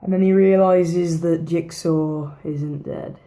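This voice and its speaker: A woman talks through a horror film in a loose, chatty review, her voice hoarse and worn down. She rambles, restarts her sentences and drops swear words without a second thought. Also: low-pitched, fairly even intonation, takes it slowly, warm tone. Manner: rambling, swears freely, repeats herself. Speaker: movie reviewer. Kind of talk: casual movie review vlog.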